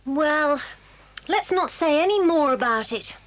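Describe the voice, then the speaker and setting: A woman's voice: high voice, animated, unhurried, fairly bright tone, rough recording. An actress with an English accent playing an earnest, fair-minded schoolgirl in a children's audio drama. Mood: earnest, reluctant.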